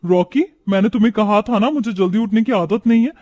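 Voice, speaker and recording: Man doing a high-pitched brazen young city woman. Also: fairly quick, a little mellow, lightly acted. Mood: indignant. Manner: sassy, defiant, sprinkles English words. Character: brazen, haughty modern city daughter-in-law, young woman.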